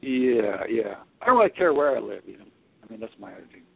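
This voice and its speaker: A man's voice: lowish voice, sing-song, fast talker, vocal fry, slightly rough, no room sound. A man appearing as a radio show guest, giving laconic, indifferent short answers. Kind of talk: casual radio chat. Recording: phone-like line, heard from a distance.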